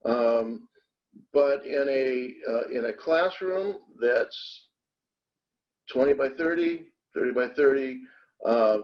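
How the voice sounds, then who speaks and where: Halting man in dull webinar audio. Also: medium pitch. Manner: halting, hesitant delivery. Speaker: product expert on a webinar. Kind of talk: explanatory technical talk.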